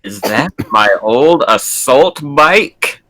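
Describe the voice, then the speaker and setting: Cool, tough comedy cop acted by a man, slow delivery, hard bright edge. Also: medium pitch, animated, heavily acted. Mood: cool, firm. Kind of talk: comedic amateur dramatic script reading.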